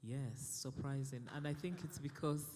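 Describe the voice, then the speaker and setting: Relaxed man speaking on a panel. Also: mid-range voice, moderate pace, slight background noise. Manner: relaxed, jokes with audience. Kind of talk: panel discussion answer. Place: conference hall. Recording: long hall reverb.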